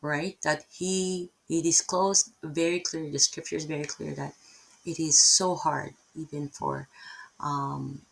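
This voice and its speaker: Casting: middle-aged Filipino-accented woman, low gravelly voice, chatty self-interrupting Bible teacher. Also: flat delivery, measured pace, poor audio. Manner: rambling, self-interrupting, tags many lines with "right?". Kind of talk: casual unscripted Bible teaching.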